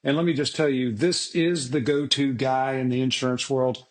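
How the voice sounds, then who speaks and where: A man's voice: medium pitch, fairly even intonation, moderate pace. A middle-aged man hosting an aviation podcast, folksy and enthusiastic, with many restarts. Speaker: podcast host. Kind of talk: casual interview chat.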